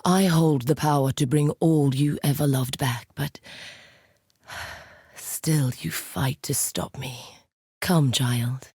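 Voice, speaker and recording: A woman playing a commanding, coldly seductive necromancer villainess in a video game, speaking in a grandiose, deliberate, menacing way. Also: rumbling low, steady tone, warm tone, slightly rough, no room sound, studio quality, full character voice. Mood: seductive, solemn.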